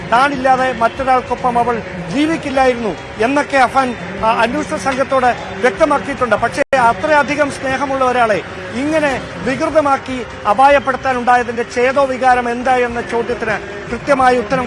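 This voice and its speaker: A man reporting live as a field news reporter, explaining at length in halting, repetitive run-on sentences. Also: extremely high pitch, background noise.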